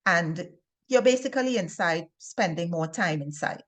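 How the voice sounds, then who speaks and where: A woman from Trinidad and Tobago with a Trinidadian accent gives an informal instructional talk to viewers as a health presenter, speaking deliberately and stressing key words. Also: fairly low pitch, lively intonation. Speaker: health and wellness video presenter.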